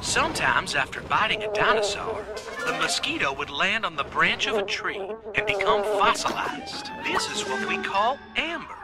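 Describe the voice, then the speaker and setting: High, swooping cartoon science mascot. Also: male voice, huge pitch swings, moderate pace. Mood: cheerful, explanatory. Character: chirpy animated DNA mascot, folksy educational film narrator. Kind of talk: scripted educational cartoon narration. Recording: music loud behind the voice.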